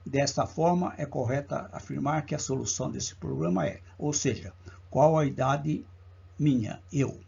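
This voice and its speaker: A man's voice: medium pitch, vocal fry, darkish tone, husky, muffled recording. A man with a Brazilian Portuguese accent teaching math for a public exam, working through problems step by step and counting out each part aloud. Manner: slow, deliberate, counts steps aloud. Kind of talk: exam-prep lesson walkthrough.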